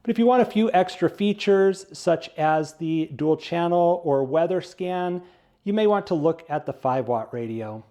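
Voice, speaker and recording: A man's voice: a little high, lively intonation, moderate pace, dark tone. A man giving a casual product review, explaining things plainly, step by step.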